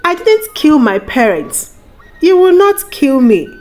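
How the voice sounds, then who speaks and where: A woman's voice: high voice, sing-song, unhurried, darkish tone, soft background music, pitched above the performer's own voice. A woman voicing a harsh, accusing mother in a folktale, scolding with indignant emphasis. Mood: angry, indignant. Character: harsh, accusing mother rejecting her child.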